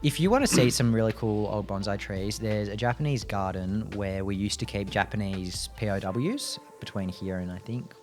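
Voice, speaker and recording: A man with a fairly low voice chats casually as a tabletop player. He improvises in a conversational way, with light music playing behind him. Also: normal speed, few pauses, a little mellow. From Australia. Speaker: player in a tabletop roleplaying show.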